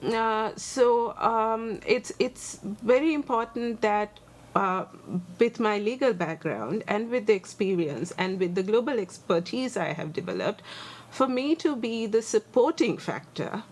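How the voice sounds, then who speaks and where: A Sri Lankan woman in her forties with a Sri Lankan accent, a lawyer answering interview questions earnestly and thoughtfully, pausing on many fillers. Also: a little high, animated. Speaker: guest, human rights lawyer and advocate.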